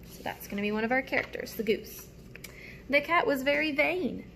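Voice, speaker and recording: Creaky, higher-voiced woman reading to children. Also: animated, normal speed, a bit bright, a little hiss or hum. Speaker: teacher reading a picture book aloud to children. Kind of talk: storybook read-aloud with asides to young listeners.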